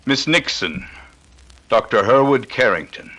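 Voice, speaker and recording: A man's voice: medium pitch, expressive, a crowd in the background, close to an everyday voice, low-quality recording. A man playing a suave detective hosting a broadcast, formal and courteous, in 1930s radio drama style. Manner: formal, courteous, measured phrasing. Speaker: radio interviewer.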